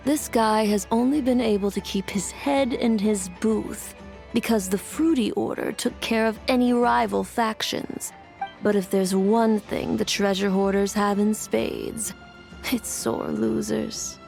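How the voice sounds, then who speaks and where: Slow, dry, gravelly-edged gang enforcer. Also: female voice, mid-range voice, fairly even intonation, a little mellow, soft background music. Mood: dry, warning. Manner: dry, unhurried, world-weary. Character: weary, tough female gang enforcer of a treasure-hoarder order. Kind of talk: video game voice acting.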